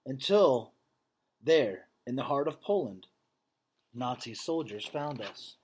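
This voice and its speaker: A man with a mid-range voice reads a book aloud in the manner of a classroom teacher. His phrasing is measured and emphatic in the story, and more informal when he explains something. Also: mid-range voice, expressive, a little mellow, breathy edge. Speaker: teacher reading aloud to students. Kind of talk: picture book read-aloud with casual explanatory asides.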